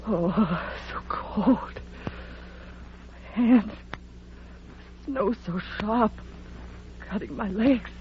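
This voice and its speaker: A woman's voice: mid-range voice, extremely slow, pauses often. An actress playing a frightened, distraught young woman in an old-time radio horror drama, pleading and breathless in a melodramatic way. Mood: cold, suffering. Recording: muffled, lightly noisy old radio recording.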